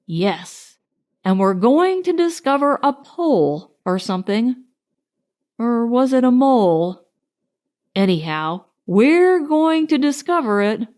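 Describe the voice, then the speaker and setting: A woman's voice: a little high, big pitch swings, slow pace, a little mellow. A woman voicing a cheerful, naive, bumbling toy bear in a storybook, eager and muddling his words. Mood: eager, muddled.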